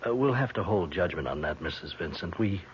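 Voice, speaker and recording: Careful polite cop in old radio show, lowish voice, dull with faint noise. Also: male voice, lively intonation, fairly quick. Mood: measured, probing. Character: tactful, official male police detective sergeant. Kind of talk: 1970s radio drama acting. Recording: muffled, faintly noisy radio broadcast.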